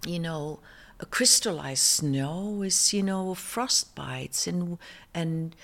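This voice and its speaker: A woman's voice: fairly low pitch, takes it slowly, creaky, fairly bright tone. A middle-aged Icelandic woman, a fashion designer being interviewed, speaking English with an Icelandic accent, hesitant and full of fillers and restarts. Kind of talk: interview conversation.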